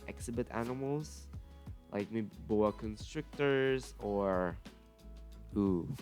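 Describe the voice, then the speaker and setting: A man with a stuffy nose from a cold, chatting casually and correcting himself as he talks through a game build for his viewers. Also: medium pitch. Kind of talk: casual gameplay commentary. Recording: faint music behind voice.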